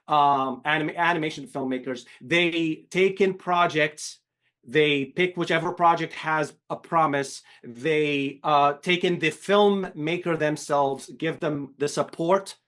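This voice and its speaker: A man's voice: a little high, fairly bright tone. A middle-aged man from Syria, a film director giving a candid, emphatic interview with many restarts. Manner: emphatic, repetitive restarts, swears. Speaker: animation director, podcast guest.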